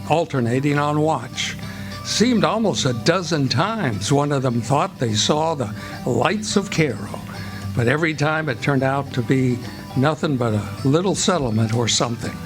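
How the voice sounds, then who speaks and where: A man's voice: a little high, lively intonation, a little slow. An actor as the narrator of a radio play, an American man telling a river tale in a folksy, descriptive way. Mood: scene-setting. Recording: live stage with music behind.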